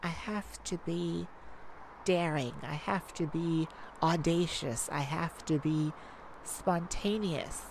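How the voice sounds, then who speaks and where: A woman playing a cocky, flirtatious master thief in an ASMR romance roleplay, with teasing, drawn-out phrasing and dramatic pauses. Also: sits low, noisy background.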